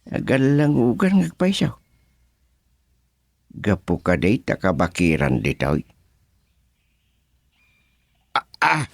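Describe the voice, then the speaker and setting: An actor playing a bewildered man lost in a forest in a radio serial, with a Filipino accent and drawn-out, exclamatory speech. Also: mid-range voice, huge pitch swings, gravelly, full character voice.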